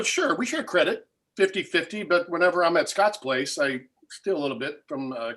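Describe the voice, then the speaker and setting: A man playing a smug, resentful screenwriting partner in a comedy table read, conversational and halting. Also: fairly high pitch, a bit bright.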